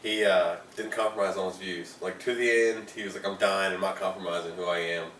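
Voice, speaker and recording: Lower-voiced man, distant in a roomy space. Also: fairly even intonation, a little fast, fairly bright tone. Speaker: podcast host. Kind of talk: casual chat. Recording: roomy, distant mic, faint noise.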